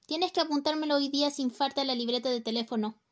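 A woman's voice: high voice, fast talker, close to an everyday voice. A woman in a student radio play acting a distracted, teasing housewife, with an exaggerated, sing-song delivery. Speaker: radio drama actor.

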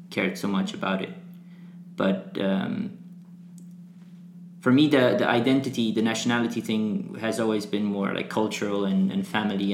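A man's voice: lowish voice, reverberant. A young man from Brazil whose first language is Portuguese, chatting casually as a podcast guest, with lots of fillers and restarts. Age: young adult. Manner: rambling, many fillers and restarts. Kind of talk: casual conversational interview.

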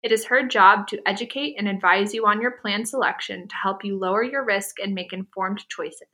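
A woman's voice: medium pitch, moderate pace, bright, forceful tone. A woman reading a scripted, upbeat introduction of a guest.

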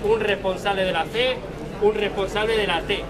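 High-pitched spanish guy giving a conference talk, lively, lots of "¿vale?", loud crowd noise behind him. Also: normal speed. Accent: Spanish from Spain. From La Mancha, Spain. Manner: lively, checks in often with "¿vale?". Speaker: conference speaker. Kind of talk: conference talk with audience questions. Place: conference room with audience.

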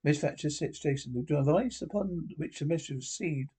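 A man's voice: a little high, a little fast, dark tone, slightly rough. A man voicing a foppish young male aristocrat, a nervous sidekick who speaks formally and fussily. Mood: fussy.

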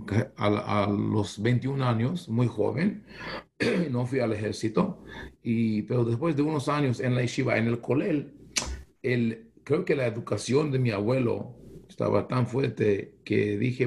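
A middle-aged man, a rabbi from Israel who is not a native speaker, answering an interview in a halting way with many restarts. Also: mid-range voice, vocal fry, darkish tone. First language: not Spanish.

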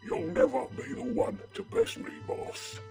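A man's voice: a little slow, over loud music, lightly acted. A man playing a foul-mouthed, indignant comic supervillain with a thick, slurred British accent in a superhero parody. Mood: menacing, indignant. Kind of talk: superhero parody audio drama.

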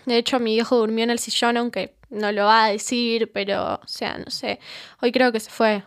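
An anxious Argentine teenage girl in an audio drama, acted by a woman. She speaks in hesitant, slangy bursts, with a fairly high, smooth voice. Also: level tone, normal speed, close to an everyday voice. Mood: uneasy, downcast. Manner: hesitant, filler-heavy teen slang. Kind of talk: naturalistic audio drama dialogue.

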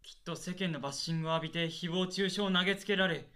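Man playing an earnest, fast-talking young-sounding stage actor. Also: high-pitched, fairly even intonation, pushed and bright. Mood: melodramatic anguish. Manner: polite, matter-of-fact junior talking back. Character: earnest, bluntly honest male stage actor in rehearsal. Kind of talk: radio drama acting, comic dialogue.

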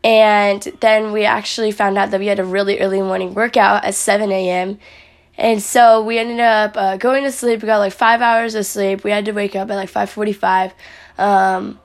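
A young woman talks casually into the camera and recaps her week as a vlogger and athlete. Her voice sounds raspy and hoarse after days of yelling while coaching. She rambles along in a friendly way, using many fillers. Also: mid-range voice, level tone, moderate pace.

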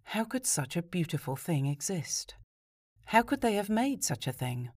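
A woman narrating a horror story as an audiobook reader, measured and expressive. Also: fairly low pitch, no echo, studio quality.

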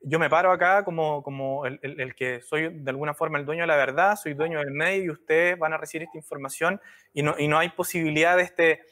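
A man's voice: a little high, fairly even intonation, quick pace, bright, forceful tone. A man hosting an interview, speaking hesitantly and searching for words. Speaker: podcast host, brand strategist. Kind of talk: conversational interview.

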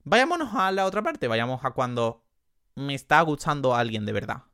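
A man's voice: mid-range voice, big pitch swings, sharp tone, clean tone, no room sound. Young andalusian guy podcasting from his room, chatty, laughs at himself, dry close sound. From Andalusia, Spain. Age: young adult. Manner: chatty, self-mocking, quick to laugh. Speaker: podcast host. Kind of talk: casual solo podcast chat. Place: his sister's bedroom.

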